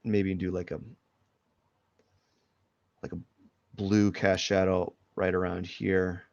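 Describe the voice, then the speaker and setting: A man with a cold talks in a low, gravelly voice, sniffling as he rambles loosely through his drawing steps. The sound is dry and dull, like lo-fi stream audio with no room echo. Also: flat delivery, takes it slowly, a little mellow. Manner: loose and rambling, many fillers. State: has a head cold, sniffling. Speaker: artist streaming a drawing session. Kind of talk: casual live drawing commentary.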